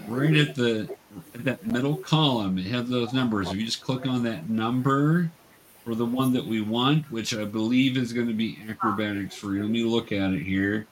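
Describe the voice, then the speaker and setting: A man's voice: mid-range voice, moderate pace, rough recording. A man acting as game master, casually narrating and patiently explaining the game to new players in an encouraging way.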